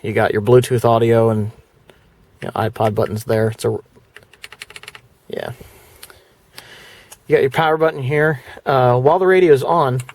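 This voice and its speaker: A man's voice: mid-range voice, a little mellow. A man in a casual vlog, talking through his car stereo with loose, rambling speech full of fillers and restarts. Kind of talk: casual product walkthrough vlog. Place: inside a parked car; indoors before going to the car.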